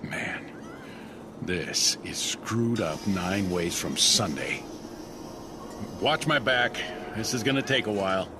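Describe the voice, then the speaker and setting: An actor playing a weary, wry older engineer in a video game, with a grumbling, folksy manner. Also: male voice, fairly low pitch, takes it slowly, pauses often, heavy background noise. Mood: guarded, weary. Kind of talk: video game cutscene acting.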